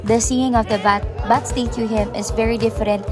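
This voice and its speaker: A woman's voice: on the high side, steady tone, brisk. A woman with a Filipino accent narrating a casual vlog recap in a chatty, effusive way, switching between two languages. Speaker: vlogger narrating an event recap. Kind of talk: casual vlog voice-over mixing Tagalog and English. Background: music.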